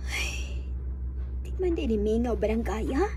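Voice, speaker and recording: High-voiced, curious young teacher. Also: female voice, expressive, unhurried, mellow. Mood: surprised. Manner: polite, questioning. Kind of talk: radio drama acting.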